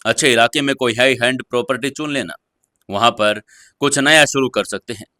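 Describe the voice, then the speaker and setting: A man playing a restrained, conciliatory CEO husband in an audio story, with an earnest, measured manner. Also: medium pitch, sharp tone, no echo, studio quality, lightly acted. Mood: gentle, relieved. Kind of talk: Hindi audio story dialogue.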